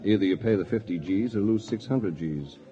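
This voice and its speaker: A man's voice: lowish voice, a little fast, mellow, natural voice. A man playing a dry, urbane adventurer in a 1940s radio drama. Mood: wry. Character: wry, worldly male adventurer, former lawyer, narrating. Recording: muffled old radio, crowd noise behind.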